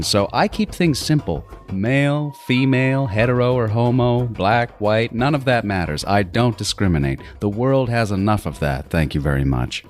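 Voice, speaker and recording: A man's voice: fairly low pitch, natural voice. An actor playing a meticulous, self-styled artist serial killer in a horror audio drama, savoring his words theatrically with swooping emphasis. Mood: smug, sly. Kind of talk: first-person horror audio drama monologue.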